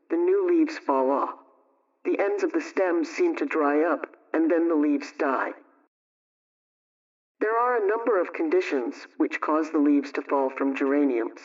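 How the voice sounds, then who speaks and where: Male radio advice presenter, scripted delivery, fairly high register, muffled lo-fi broadcast sound. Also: darkish tone. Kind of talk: scripted radio question-and-answer reading. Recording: dull, distant, band-limited radio sound.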